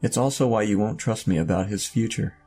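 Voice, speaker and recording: An actor playing an enigmatic, commanding older robed man in an audio drama, with a gravelly voice and a solemn, cryptic, measured delivery. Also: on the low side, warm tone, soft background music. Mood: grave, urgent.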